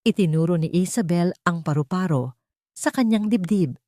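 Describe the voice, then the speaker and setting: A woman's voice: animated, moderate pace, dark tone, dry recording, studio quality. A woman with a Filipino accent narrating a dramatic audio story in the first person, lifting her voice for the characters' lines. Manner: rises in pitch and volume for quoted dialogue.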